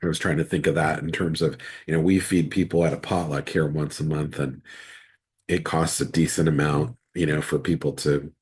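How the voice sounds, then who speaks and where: A man with a cold, his voice hoarse and raspy, leading an online midweek Bible study as pastor, reading scripture and then explaining it in a loose, conversational way. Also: fairly even intonation, normal speed, darkish tone. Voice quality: raspy, very deep voice. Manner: conversational, restarts sentences often.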